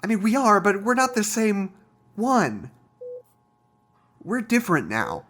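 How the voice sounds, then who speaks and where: An actor playing an earnest, resentful male scientist in an audio drama, confiding in a halting, rambling way. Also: high-pitched, wildly swooping pitch, a bit bright, heavily acted. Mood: anxious, resentful. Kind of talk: audio drama monologue, naturalistic.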